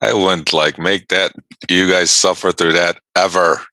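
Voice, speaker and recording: A man from Chicago hosting a trading live stream, chatting casually with viewers and laughing often in a quiet room. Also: deep voice, steady tone, unhurried, no echo. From Chicago North Side. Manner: laid-back, laughs often, reads and answers chat.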